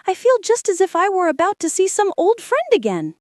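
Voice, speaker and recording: A woman's voice: very high voice, wildly swooping pitch, fairly quick, no room sound, studio quality, pitched above the performer's own voice, exaggerated voice. A woman voicing a cheerful, simple-hearted shoemaker who exclaims eagerly. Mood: happy.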